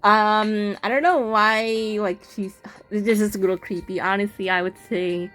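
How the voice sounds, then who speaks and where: A woman's voice: medium pitch, fairly even intonation, a little slow, a bit bright, faint music behind. A woman giving casual, informal playthrough commentary on a game, thinking aloud and often saying "like" as she goes. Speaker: game streamer.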